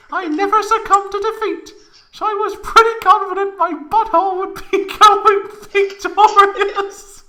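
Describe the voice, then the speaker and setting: A woman's voice: very high voice, pushed and bright. A woman playing a proud, melodramatic young woman recounting an embarrassing tale in a comedic fan fiction reading, overwrought and close to giggling.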